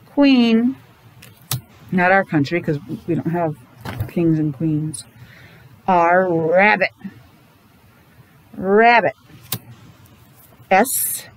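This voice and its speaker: A middle-aged woman teaching young children in simple, deliberate phrases with chatty asides, speaking through a face mask. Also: mid-range voice, poor audio. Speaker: preschool teacher. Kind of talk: children's classroom lesson. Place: small indoor classroom.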